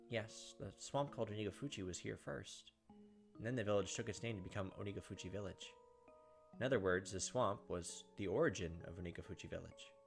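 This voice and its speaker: A man's voice: on the low side, creaky. A man hosting a playthrough, reading a visual novel aloud as emphatic dramatic narration with casual asides. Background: game music.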